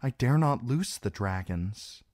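A man's voice: medium pitch, animated, measured pace, darkish tone, dry recording, studio quality, voice pushed up, natural voice. A man voicing a young queen who speaks firmly and with command. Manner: firm, commanding.

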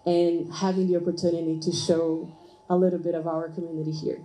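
A woman with a handheld microphone giving a welcoming speech in a campus meeting room, speaking slowly and deliberately. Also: fairly low pitch, flat delivery, warm tone, low-quality recording. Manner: slow, deliberate listing.

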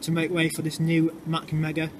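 A man talks his way through a walking tour, chatty and a little halting as he explains things. His voice sits a touch high, and the camera mic picks up plenty of street and traffic noise around him. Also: monotone. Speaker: vlogger. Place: outdoors in urban streets and underpass. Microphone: handheld camera mic. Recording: noisy outdoor street sound. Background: traffic and street ambience.

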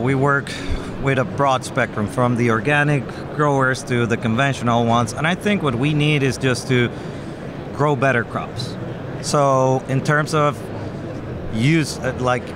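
A young man, an agronomist guest, explaining earnestly with everyday analogies into a desk mic at a trade show booth. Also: medium pitch. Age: young adult. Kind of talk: explanatory interview answers. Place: busy expo hall booth. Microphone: desk microphone on stand. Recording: background noise of trade show floor.

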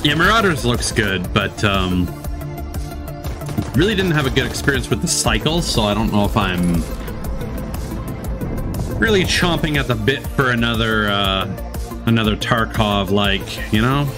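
A man's voice: fairly low pitch, expressive, measured pace, pauses often. A man doing casual live reaction commentary in a blunt, informal, slangy way. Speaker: streamer. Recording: game trailer audio behind. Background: trailer music.

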